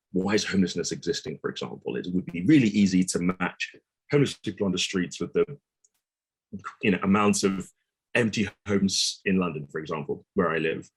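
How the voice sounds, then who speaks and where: A man's voice: deep voice, husky, rough recording. A man on a panel speaking thoughtfully and casually, with filler words now and then. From African diaspora. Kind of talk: casual discussion. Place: London.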